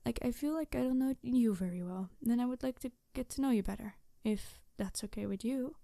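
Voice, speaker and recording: Woman acting a snarky high-voiced female supervillain. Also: creaky, warm tone, no room sound, clean studio sound. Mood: tender, confessional. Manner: halting, sighing, emotionally candid, sardonic. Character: snarky female supervillain, passionate, flustered, secretly tender nemesis. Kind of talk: ASMR audio roleplay monologue.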